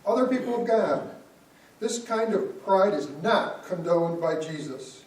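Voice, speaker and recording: A man voices a nineteenth-century minister who scolds his congregation about pride, slow and measured. The voice sits fairly high. It is picked up from a distance in a big, echoing church. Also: animated. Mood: admonishing. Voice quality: preachy, measured. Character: stern 19th-century male minister preaching. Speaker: church member reading a part. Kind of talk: reader's theater. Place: church sanctuary. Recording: echoey church from far off.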